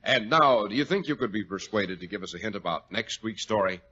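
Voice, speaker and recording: Animated male old-time radio announcer. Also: on the low side, a little fast, husky. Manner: polished, formal delivery. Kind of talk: 1940s radio announcing, scripted. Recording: dull vintage radio recording, organ music. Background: loud organ music.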